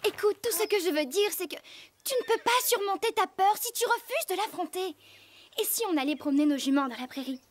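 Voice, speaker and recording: A woman voicing a kind, earnest teenage girl rider in a French cartoon dub, expressive and encouraging. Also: very high voice, fairly quick. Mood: earnest, reassuring.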